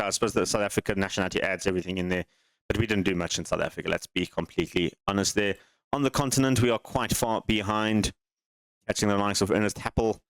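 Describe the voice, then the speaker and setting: A man with a fairly low, smooth voice talks through his game save in a relaxed way. He thinks aloud, wanders off topic and asks himself questions. The sound is dry and close. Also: no room sound. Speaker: gaming channel host. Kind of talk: casual game commentary.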